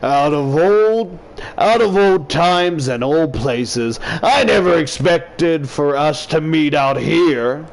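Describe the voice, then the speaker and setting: A man voicing a cruel, smug teenage boy who bullies with slow, drawn-out taunts in a visual novel. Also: on the high side, creaky, pitched above the performer's own voice, poor audio. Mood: mocking. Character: cruel, smug male teenage school bully.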